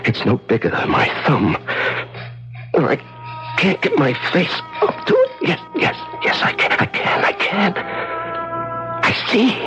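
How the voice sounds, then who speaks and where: An actor playing a desperate, unraveling prisoner alone in the dark, haltingly repeating himself in a radio drama monologue. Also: male voice, extremely high pitch, wildly swooping pitch, exaggerated voice, low-quality recording. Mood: excited, straining. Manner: halting, repetitive, talking to himself. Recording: vintage radio drama with music behind.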